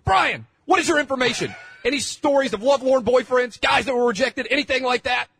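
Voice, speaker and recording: Man putting on a high-pitched, pushy TV news hostess. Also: lively intonation, a little fast, fairly bright tone, breathy edge, dry recording, exaggerated voice. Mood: probing. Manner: interrogating, insistent, clipped headline phrases. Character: pushy, dramatic female cable-news legal host interrogating guests. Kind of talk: parody dramatic reading of cable news show.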